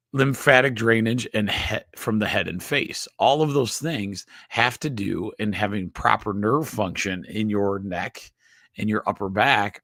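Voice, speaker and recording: A nasal, somewhat low-pitched man explaining health topics to a live online audience. He speaks haltingly, restarting his phrases and tagging lines with quick check-ins. The sound is close and dry, with no room echo. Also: fairly bright tone. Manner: halting, frequent restarts, checks in with "okay?" and "right?". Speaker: chiropractor host.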